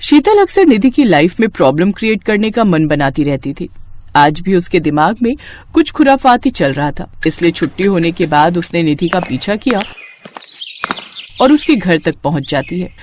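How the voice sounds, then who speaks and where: A woman's voice: lowish voice, sing-song, normal speed, a little mellow, slight background noise. A woman reading scripted narration as the storyteller of a moral tale.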